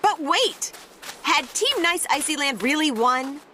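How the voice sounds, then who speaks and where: A woman reading a children's picture book aloud, with expressive, playful and dramatic emphasis on the words. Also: sits high, unhurried, a little hiss or hum. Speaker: read-aloud storyteller.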